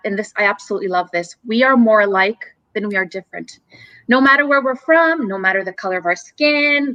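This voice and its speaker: A woman's voice: medium pitch, fairly bright tone, dry recording, low-quality recording. A woman originally from Romania, a company CEO, speaking as a guest on a live-streamed interview and explaining her ideas earnestly, often listing points one after another.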